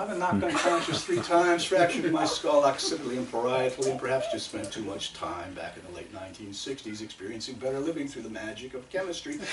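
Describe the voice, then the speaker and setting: An older man gives a live spoken-word poetry reading in a measured, rhythmic way. His pitch moves widely as he leans on key phrases. The recording is echoey and picked up from a distance, with some background noise. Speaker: poet reading own work. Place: indoor live poetry reading, room echo. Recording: roomy, noisy recording from a distance.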